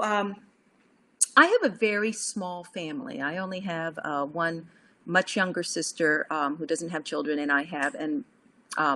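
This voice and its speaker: Middle aged woman, cuban born, chatty on a laptop call from her studio, lots of restarts, lowish voice. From Cuba. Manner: animated, thinking aloud with many fillers and restarts. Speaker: painter. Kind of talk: casual interview talk. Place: home studio indoors. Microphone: laptop webcam video call.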